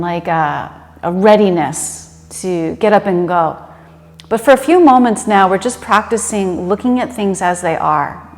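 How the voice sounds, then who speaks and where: A woman leading a guided meditation in a gentle, unhurried and conversational manner. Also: mid-range voice. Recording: reverberant room with long echo.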